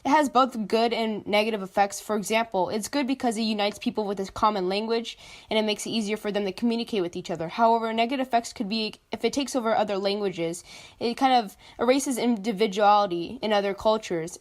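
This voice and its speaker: Woman laying out her opinion, clear and explanatory, middle voice. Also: medium pitch. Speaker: interviewee. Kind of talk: opinion statement.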